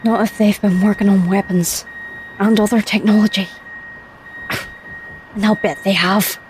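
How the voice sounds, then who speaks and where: A woman playing a cautious, reluctant space pilot in an audio drama, with an earnest, measured delivery. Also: medium pitch, steady tone, darkish tone, a little hiss or hum, natural voice. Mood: wary, thoughtful.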